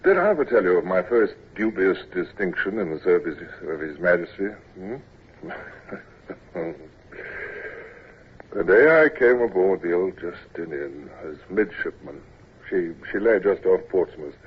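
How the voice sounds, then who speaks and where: Brief: a wry naval officer, deep, 1940s radio acting, phone-thin sound. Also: male voice. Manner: stammering when acting young, wry when narrating. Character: naval captain recalling himself as a shy, nervous midshipman. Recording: tinny old radio broadcast with hiss.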